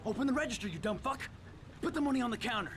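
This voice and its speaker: An actor playing an aggressive, jumpy, foul-mouthed armed robber in a video game, threatening and barking orders. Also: male voice, extremely high pitch, lively intonation, rapid-fire, music in the background. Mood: menacing, furious. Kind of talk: dramatic video game acting.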